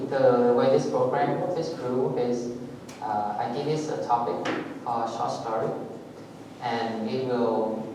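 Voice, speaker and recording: A man from Vietnam, a native Vietnamese speaker, giving a technical talk at a meetup in a halting way, with many fillers and restarts. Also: mid-range voice. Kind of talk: technical presentation with live demo. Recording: echoey hall, voice far from mic, audible noise.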